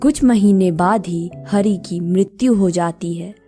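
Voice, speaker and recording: A woman's voice: on the low side, measured pace, warm tone, soft background music. A woman narrating a scripted moral story as the storyteller.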